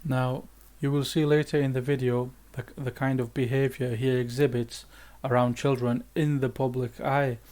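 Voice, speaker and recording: A man narrates a scripted exposé in a grave, measured tone that accuses as it goes. His pitch stays level and barely moves, so the whole read sounds even and steady. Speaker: commentary narrator.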